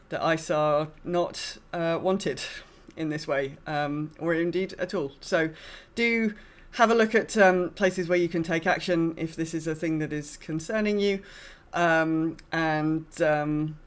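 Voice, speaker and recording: Chatty, halting woman podcast host. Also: deep voice. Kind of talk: casual podcast chat.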